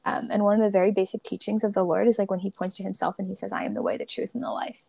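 Young female co-host on a remote call, dry close sound, creaky, talks in loose restarts. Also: mid-range voice, steady tone, rapid-fire, mellow, dry recording. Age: young adult. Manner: joking, self-deprecating, talks in loose restarts. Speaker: podcast co-host. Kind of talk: casual faith podcast chat. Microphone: remote call with headphones.

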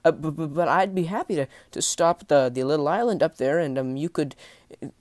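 A man plays a terrified sailor in an adventure storybook, his voice pitched up high. He stammers and hesitates, deferential and pleading, in a dry, close recording. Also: huge pitch swings, takes it slowly, no echo, heavily acted. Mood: nervous, pleading. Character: frightened stammering male sailor, servile and pleading. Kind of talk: audiobook character voice.

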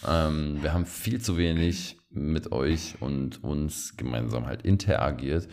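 Ein Mann als Co-Host eines Podcasts, der locker und scherzend plaudert, mit vielen Füllwörtern. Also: male voice, a bass voice, a little slow, darkish tone. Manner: chatty, joking, many fillers. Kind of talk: casual chat.

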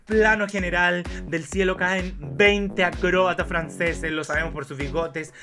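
A man playing a flamboyant, lascivious art-film director in a campy radio play, with melodramatic, pompous, drawn-out delivery. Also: sits high, steady tone, music in the background. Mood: rapturous.

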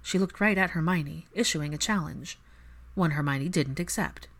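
A woman's voice: deep voice, moderate pace. A woman reading scripted fiction as an audiobook narrator.

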